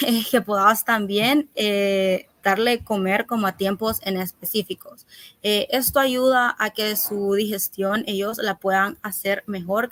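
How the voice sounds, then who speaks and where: A woman who is a holistic pet health coach, explaining in a relaxed webinar chat with many fillers. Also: medium pitch, dry recording. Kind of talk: informal webinar talk.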